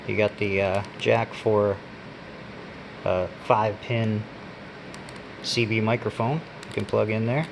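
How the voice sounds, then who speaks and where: Male reviewer, lowish gravelly voice, halting off-the-cuff product walkthrough, noticeable background noise. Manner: unscripted, halting, pausing while handling items. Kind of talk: casual hands-on gadget demonstration. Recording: steady background hum and noise.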